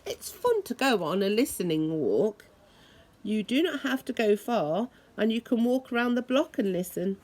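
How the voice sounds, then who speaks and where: A woman reading a children's story aloud in a deliberate, expressive way, imitating the sounds it describes. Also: fairly high pitch. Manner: deliberate, acts out noises expressively.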